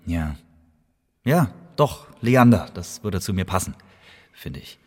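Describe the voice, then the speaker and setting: A man's voice: low voice, big pitch swings, takes it slowly, exaggerated voice. An actor playing a thoughtful young student recruited as a spy in a German radio play, speaking hesitantly as he ponders. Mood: musing. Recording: reverberant hall-like room.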